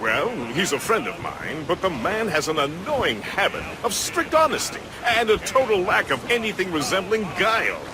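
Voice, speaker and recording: Hearty, high-pitched talkative seaman. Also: sing-song, moderate pace, hardly any pauses. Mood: wry. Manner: colourful, hearty, chatty. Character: jovial, talkative seafaring male first mate. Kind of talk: video game cutscene acting. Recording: clear outdoor ambient noise.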